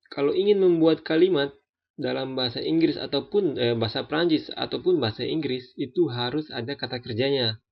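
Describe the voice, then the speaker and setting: A man in his twenties explains grammar in a loose, hesitant way, often pausing on fillers and restarting his sentences. His voice is gravelly and sits at an ordinary pitch. The recording is dull and lo-fi. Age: 20s. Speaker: French language teacher. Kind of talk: casual spoken lesson. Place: France.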